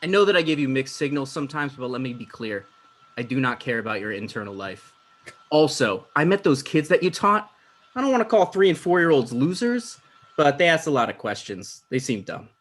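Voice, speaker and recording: A man's voice: mid-range voice, very animated, fairly quick, fairly bright tone. A man playing a self-important, insecure talk show host. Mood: dismissive. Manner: dismissive, argumentative. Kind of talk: comedy script table read.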